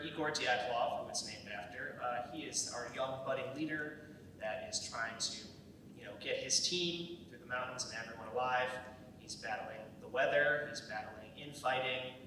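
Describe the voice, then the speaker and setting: A man's voice: moderate pace, sharp tone. A young man speaking casually and unscripted as he presents a project to an audience. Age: young adult. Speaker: executive producer presenting a student script. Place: large echoey indoor room, live event. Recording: very echoey hall, distant mic, rumbly noise.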